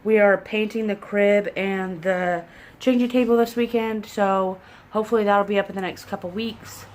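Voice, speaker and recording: A woman's voice: mid-range voice, fairly even intonation, normal speed, a little hiss or hum. A young woman from southern Indiana, congested, chatting casually in a rambling, self-deprecating way to a camera in her living room. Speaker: pregnancy vlogger. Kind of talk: casual vlog chat. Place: living room at home, indoors. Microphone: camera in front at desk distance.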